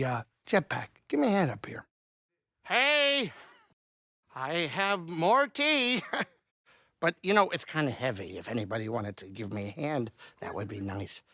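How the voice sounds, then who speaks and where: A man playing a middle-aged alien pilot and saloon owner in a video game, anxious but kindhearted, with expressive, folksy, wry grumbling. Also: a little high, no room sound, clean studio sound, full character voice. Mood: joking. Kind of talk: video game character acting.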